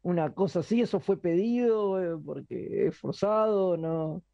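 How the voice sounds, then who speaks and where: A man's voice: high-pitched, measured pace, vocal fry, dark tone. A man interviewing a guest, asking his questions hesitantly and repeating words. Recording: dull dry sound.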